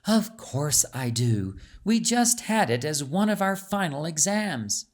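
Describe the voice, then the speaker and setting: A man's voice: a little high, big pitch swings, slow pace. A man voicing a confident, bossy young girl, firm and instructing, in storybook dialogue.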